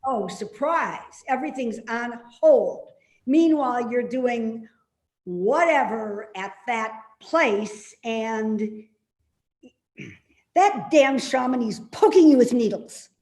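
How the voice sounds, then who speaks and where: Woman acting a slow-talking, cranky old mother. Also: on the high side, animated, a little mellow. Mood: sarcastic. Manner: grumbling, guilt-tripping, pointed. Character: cranky elderly mother, stubborn, complaining, sharp-tongued. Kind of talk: readers theater stage acting. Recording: roomy, echoey sound.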